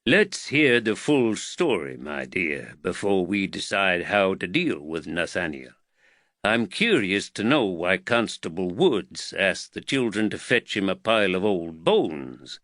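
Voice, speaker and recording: A man voicing a courteous, calm husband with an American drawl and clear diction in a storybook. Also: deep voice, measured pace, no room sound, clean studio sound, full character voice. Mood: calm, curious. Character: calm, courteous, slight bespectacled foreign husband.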